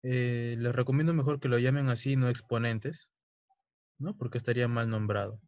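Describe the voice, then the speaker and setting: A man's voice: mid-range voice, steady tone, normal speed, a little mellow, no echo. A young man teaching a chemistry lesson, explaining step by step and often checking in with his students. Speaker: chemistry tutor. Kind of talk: recorded online lesson.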